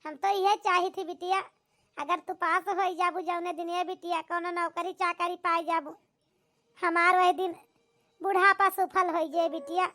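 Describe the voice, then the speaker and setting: A woman voicing a doting, gentle village mother in a homemade cartoon, with an Awadhi accent and a pitched-up, coaxing delivery. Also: flat delivery, moderate pace. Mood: proud, hopeful. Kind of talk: homemade cartoon comedy-drama.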